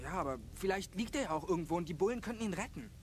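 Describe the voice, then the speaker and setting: Animated, uneasy teenage boy. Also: high voice, racing. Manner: questioning, hesitant. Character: uneasy teenage boy, hesitant sidekick with a conscience. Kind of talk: German radio drama acting. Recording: clear ambient noise behind.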